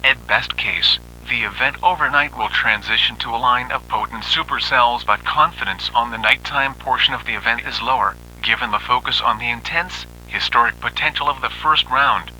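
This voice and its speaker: Very sharp-toned male weather bulletin announcer. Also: medium pitch, normal speed, hardly any pauses, slight background noise, low-quality recording. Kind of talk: formal scripted weather bulletin reading.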